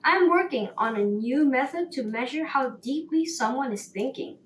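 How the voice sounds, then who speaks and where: A woman's voice: high-pitched, expressive, normal speed. A woman voicing a self-assured male sparrow, a pompous know-it-all who explains things in a bedtime story. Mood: satisfied, matter-of-fact. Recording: roomy, distant mic, faint noise.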